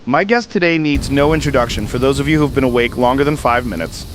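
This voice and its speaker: A mid-pitched male voice of an actor plays a sardonic underground radio talk host. He is edgy and dramatic, with clear noise in the recording. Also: fast, lightly acted. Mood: sardonic, intrigued. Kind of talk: radio talk show hosting.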